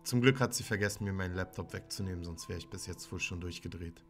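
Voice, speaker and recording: A man's voice: low-pitched, fast talker, a bit bright. A man playing a sullen, troubled teenage son, bitterly narrating his own horror story in a dramatic way. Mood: bitter, brooding. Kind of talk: scripted first-person horror narration. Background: music.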